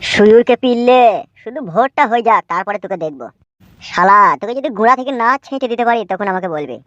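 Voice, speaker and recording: High, rapid-fire scheming village politician, acted by a woman. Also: animated, no room sound, muffled recording, soft background music, natural voice. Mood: muttering resentment. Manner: crude, quick to scold. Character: scheming, hot-tempered village politician hungry for office. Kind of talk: comedy cartoon dubbing.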